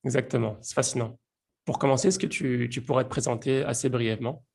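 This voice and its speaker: A man's voice: medium pitch, steady tone, brisk, creaky, darkish tone. A man hosting a podcast, speaking as a narrator and interviewer. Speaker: podcast host.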